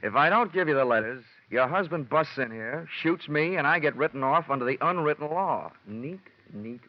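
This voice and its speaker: An actor playing a cocky, wisecracking insurance investigator in an old radio detective drama, with a sardonic, slangy delivery. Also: male voice, a little high, expressive, moderate pace, close to an everyday voice. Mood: sardonic, guarded. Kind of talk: 1940s-50s radio detective drama acting. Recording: dull vintage radio recording, music behind.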